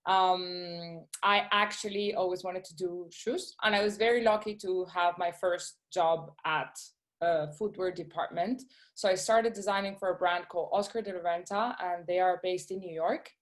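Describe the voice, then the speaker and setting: A woman presents her design work to schoolchildren over a video call. She sounds eager, pausing on fillers and checking in with "okay?" as she goes. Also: on the low side, steady tone. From Venezuela. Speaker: guest speaker, shoe designer.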